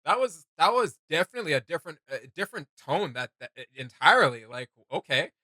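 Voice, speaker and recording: A man co-hosting a casual reaction video, animated and jokey. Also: a little high, fast, no room sound.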